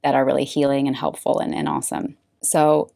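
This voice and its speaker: A woman from California who hosts a face yoga podcast, telling her story in a relaxed, chatty way with lots of fillers. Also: rumbling low, brisk, gravelly, dry recording, clean studio sound. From Bay Area, California. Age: late 20s or older. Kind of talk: casual personal storytelling monologue.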